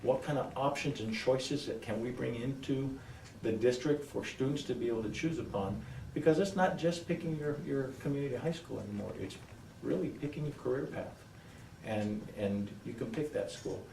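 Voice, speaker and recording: A man applying for a school board seat answers at a public forum, pausing and repeating phrases for emphasis. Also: mid-range voice, vocal fry, darkish tone. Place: indoor public forum room. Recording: roomy echo, distant mic, faint noise.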